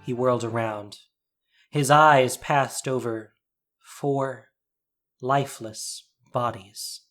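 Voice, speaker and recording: A man reading a scripted fantasy story as narrator, with measured, deliberate, suspenseful phrasing. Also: mid-range voice, steady tone. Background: soft music.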